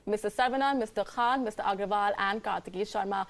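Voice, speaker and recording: A woman anchoring a TV news debate, brisk and keeping speakers to time. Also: medium pitch, vocal fry. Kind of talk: moderating a TV debate.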